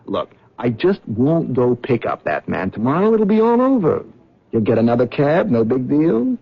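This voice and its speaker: A man's voice: medium pitch, wildly swooping pitch, moderate pace, vocal fry, breathy edge, heavily acted. An actor playing an honest, talkative male cab driver in a 1970s radio drama. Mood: insistent. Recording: dull old radio broadcast.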